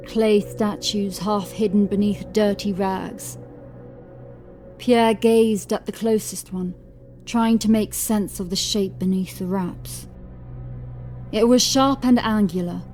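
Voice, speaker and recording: Female narrator for gothic horror: unhurried dramatic reading, mid-pitched, soft music underneath.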